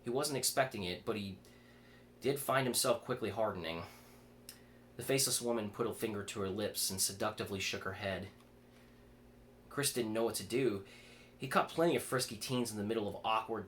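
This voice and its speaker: Male horror reader, lower-pitched, some stumbles over words, faint noise behind the voice. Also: a bit bright. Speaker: author reading own fiction aloud. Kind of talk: horror story reading. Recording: clear background noise.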